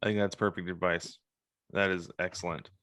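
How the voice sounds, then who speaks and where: A man's voice: low-pitched, little pitch movement, a little fast, fairly bright tone, no room sound, clean studio sound. A man co-hosting a podcast, chatting casually as he interviews a guest.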